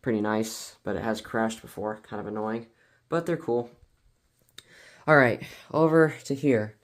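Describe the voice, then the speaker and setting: A man's voice: on the low side, steady tone, measured pace, a little hiss or hum. A man giving a casual, rambling vlog-style showcase as an enthusiastic collector, often stumbling over his words. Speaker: collector showing weather radios and scanners.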